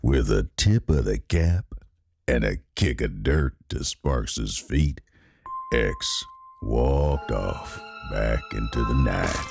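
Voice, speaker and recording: An actor playing a grim, grizzled narrator of a Western tale, gravelly and dramatic in his storytelling. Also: very deep voice, expressive, slow pace, a little mellow, no room sound, soft background music, full character voice.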